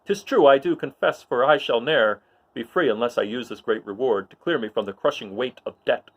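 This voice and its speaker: A man's voice: medium pitch, fairly quick, close to an everyday voice. A man voicing a blunt, self-interested smuggler rogue in a declamatory Shakespearean storybook reading. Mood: defensive.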